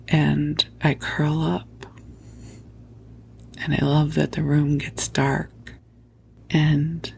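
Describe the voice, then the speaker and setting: A woman speaks in a slow, hushed whisper with a crackly, creaky edge. She is tender and soothing, like a guide easing a listener to sleep with spiritual talk. The microphone is very close, with a faint noise behind her. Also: low-pitched. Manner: soothing, tender, unhurried, affectionate. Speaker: sleep podcast host and spiritual teacher. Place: at home under a blanket.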